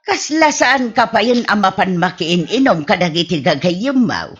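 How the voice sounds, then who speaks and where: A woman's voice: mid-range voice, big pitch swings, normal speed, breathy edge, lo-fi sound. A woman with an Ilocano Filipino accent playing a startled, scolding mother in a comedy radio drama. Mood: concerned, scolding. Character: startled, scolding mother of a wayward grown son.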